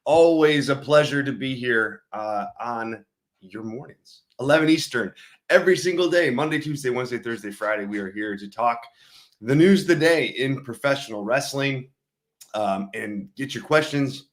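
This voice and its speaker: Upbeat man with a slightly hoarse voice. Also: medium pitch, moderate pace. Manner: upbeat, chatty, many fillers. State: losing his voice, slightly hoarse. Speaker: host. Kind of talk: live wrestling news show. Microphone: streaming setup mic.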